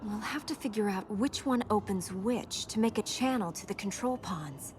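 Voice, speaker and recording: A woman playing an earnest, dutiful young princess in a video game, matter-of-fact with a dry, gently teasing wit. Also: medium pitch, moderate pace, close to an everyday voice. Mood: explanatory. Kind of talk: video game dialogue acting. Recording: noisy game ambience behind voice.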